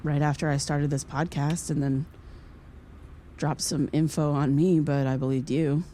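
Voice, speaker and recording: A woman's voice: low voice, flat delivery, warm tone, a little hiss or hum. A woman acting as an earnest, hesitant podcaster seeking answers in a naturalistic audio drama. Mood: defensive, insistent. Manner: halting, apologetic, polite. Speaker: lead actor playing a podcaster.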